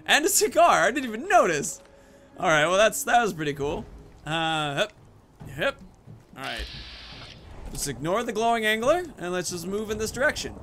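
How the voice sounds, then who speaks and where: A man's voice: high voice, big pitch swings, normal speed, long pauses, sharp tone. A man hosting a gaming video, giving casual commentary and thinking aloud about his moves and choices. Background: video game music.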